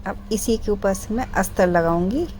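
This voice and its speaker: A middle-aged woman with a sore, hoarse throat, doing a voice-over for a sewing tutorial and explaining each step patiently, clearing her throat often. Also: mid-range voice, moderate pace, darkish tone, a little hiss or hum. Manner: step-by-step, repeats instructions. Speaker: sewing channel host.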